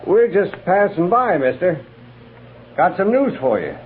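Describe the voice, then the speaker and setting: A cautious frontiersman calling out in a 1950s radio western. His voice is fairly high and very animated, caught on a thin, noisy vintage broadcast. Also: unhurried. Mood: wary, coaxing. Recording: tinny, noisy old radio recording.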